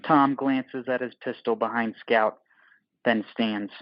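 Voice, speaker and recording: A man's voice: medium pitch, steady tone, slow pace, dry recording, highs cut off. A man narrating an audio play from a script in terse, dramatic, clipped phrases.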